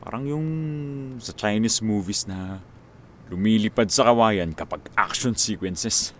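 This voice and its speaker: Actor as Marco, slow, low-voiced sentimental action star. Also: male voice, a little hiss or hum, close to an everyday voice. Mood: wistful. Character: Marco, famous action star, sentimental, wistful, devoted former love-team partner. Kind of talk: Filipino radio drama acting. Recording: music behind the voice.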